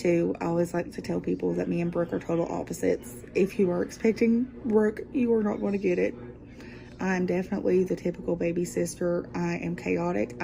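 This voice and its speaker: A woman's voice: lowish voice, vocal fry, low-quality recording. A woman talking casually as a guest vlogger, rambling and upbeat with a self-deprecating manner. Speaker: guest vlogger taking over a sister's channel. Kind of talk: casual chatty vlog talk.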